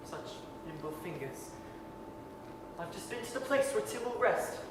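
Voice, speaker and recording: An actor playing a kindly, grieving father, earnest, tender and halting, in a staged 1930s radio drama. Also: sits high, expressive. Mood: forced cheer. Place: performing arts center stage. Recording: echoey hall from far off, noisy.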